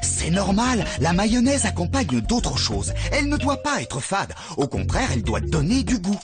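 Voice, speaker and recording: A woman's voice: medium pitch, wildly swooping pitch, moderate pace. A woman voicing a patient, kindly adult cook who instructs and encourages children in a cartoon. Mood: patiently chiding. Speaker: cooking guide. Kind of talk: scripted children's cartoon cooking lesson. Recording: music behind the voice.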